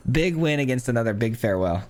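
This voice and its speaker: A man with a hoarse, cracking voice gives casual commentary on his own card game, counting aloud and thinking through his plays. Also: mid-range voice, expressive, quick pace, a little mellow. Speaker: gameplay streamer. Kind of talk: casual live gameplay commentary.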